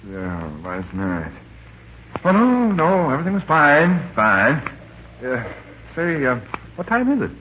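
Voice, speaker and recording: Casting: exasperated young man, bright forceful voice, swooping flustered delivery, 1940s radio drama, muffled hissy recording. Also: a little high, wildly swooping pitch, takes it slowly, pauses often, full character voice. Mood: groggy, evasive. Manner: stammering, blustering.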